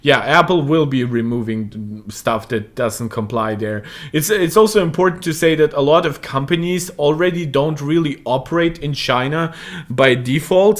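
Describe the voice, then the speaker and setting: Chatty young man. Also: fairly high pitch. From Moldova. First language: Russian. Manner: rambling, many fillers and self-corrections. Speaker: tech channel host. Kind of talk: casual commentary monologue.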